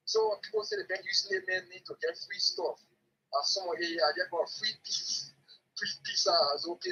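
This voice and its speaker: A Liberian man talks casually as a guest, in a high, very husky voice with a bright, forceful edge. He tells anecdotes in an animated way, acting out dialogue and repeating phrases. The sound is dull and muffled. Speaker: talk show caller or guest.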